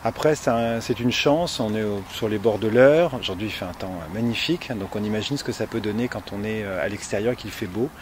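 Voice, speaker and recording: A man's voice: fairly low pitch, a little fast, few pauses, slight background noise. A man speaking spontaneously as an architect, explaining his project step by step.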